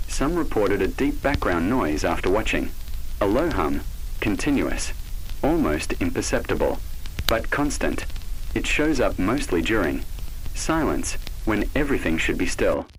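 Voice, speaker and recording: Guy doing creepy analog horror narration, lowish voice w lots of intonation, measured and cryptic, noisy background. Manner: measured, cryptic, clipped short phrases with deliberate pauses. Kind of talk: scripted horror narration, ominous second-person address.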